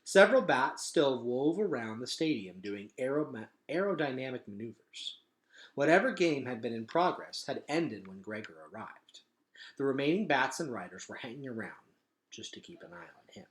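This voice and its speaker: A man reading a children's novel aloud as narrator, in an expressive storytelling style. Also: mid-range voice, normal speed, echoey room. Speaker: read-aloud reader.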